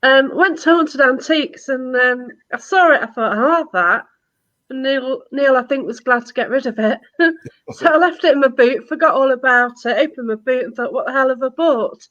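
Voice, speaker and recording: Casting: high-pitched woman host, giggly and friendly, dull lo-fi call audio. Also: normal speed, a bit bright. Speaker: chat host. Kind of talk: casual live chat.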